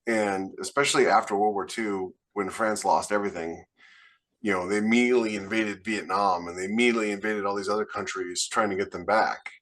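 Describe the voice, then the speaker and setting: An American man with a fairly low voice talks in a casual panel discussion as a podcast co-host. His manner is blunt and opinionated, and he speaks conversationally. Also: steady tone, fairly quick, fairly bright tone. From United States.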